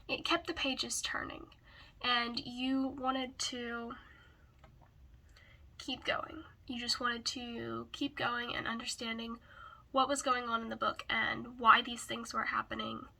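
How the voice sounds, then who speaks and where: Girl doing a casual book review, raspy stuffed-up cold voice, really bright, talks slow and kinda searches for words. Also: on the high side, flat delivery. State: hoarse from a cold.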